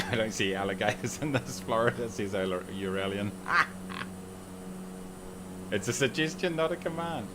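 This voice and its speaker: A low-voiced man talks loosely and jokes through a livestream, reading viewers' comments out as he goes. A steady hum runs under his home mic. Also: normal speed, long pauses. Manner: chatty and joking, reads viewer comments aloud. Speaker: streamer. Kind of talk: casual livestream chat while flying a sim.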